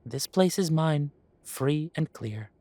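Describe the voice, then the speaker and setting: A man playing a genial, long-lived elven café owner who reminisces in an unhurried, chatty way, in a roleplay audio drama. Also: a little high, lively intonation, mellow, no room sound. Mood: genial, reminiscing. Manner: unhurried, musing, conversational with pauses.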